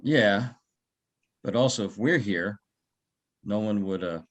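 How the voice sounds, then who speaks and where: A conscientious security guard, played by a man in a table read. He speaks plainly in a lowish voice, puzzled and a little hesitant. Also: takes it slowly. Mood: concerned, confiding. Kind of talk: screenplay table read acting.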